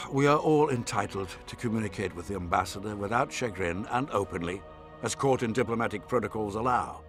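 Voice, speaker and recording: Man acting stern fantasy game nobleman, lowish voice, imperious, formal, measured, faint music behind. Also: fairly bright tone, lightly acted. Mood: measured, conspiratorial. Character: haughty, scheming male nobleman, stern and formal.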